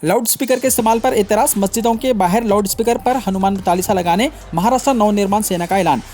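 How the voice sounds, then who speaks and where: A man's voice: high voice, fast, music in the background. A man reading scripted news in a formal manner, delivering headlines and stories as a news reader.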